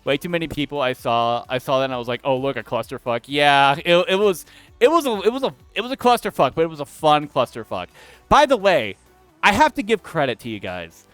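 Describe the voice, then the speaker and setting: A man's voice: on the high side, very animated, a little fast, a bit bright, dry recording, faint music behind. A young man streaming, chatting casually and rambling, often cutting himself off to answer chat. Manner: rambling and self-interrupting, talks back to chat.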